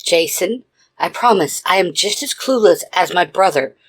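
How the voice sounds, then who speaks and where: Man as a mystical female mentor, raised pitch, gentle, earnest, sincere, audio story. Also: expressive, moderate pace.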